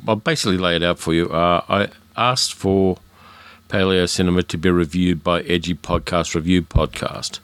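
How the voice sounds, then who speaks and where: A man's voice: sits low, fairly even intonation, normal speed, fairly bright tone, smooth, no room sound. A man with an educated Australian accent, hosting his own film podcast in a frank, chatty way with fillers and restarts. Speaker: podcast host. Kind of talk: casual solo podcast monologue.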